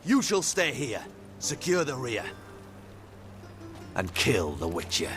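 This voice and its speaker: A man's voice: medium pitch, wildly swooping pitch, takes it slowly, fairly bright tone, faint music behind, exaggerated voice. An actor playing a cruel, haughty fantasy king in a video game, commanding and formal. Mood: menacing, imperious. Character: cold, imperious, cruel king of a fantasy realm. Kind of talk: dramatic video game voice acting.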